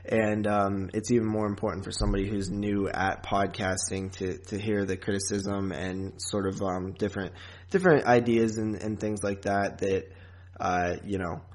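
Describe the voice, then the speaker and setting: A man hosting a podcast in a casual, rambling, halting chat style with frequent asides. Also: fairly low pitch, little pitch movement. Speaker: podcast host.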